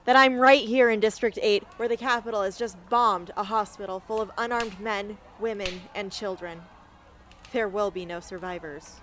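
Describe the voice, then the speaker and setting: A woman's voice: mid-range voice, moderate pace, a bit bright, a little hiss or hum, lightly acted. A woman acting a defiant, earnest young rebel heroine in an amateur radio drama. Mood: defiant, impassioned.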